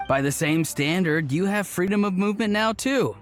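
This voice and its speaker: A man's voice: high-pitched, expressive, normal speed. An upbeat, jokey young man. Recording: game cutscene with music behind.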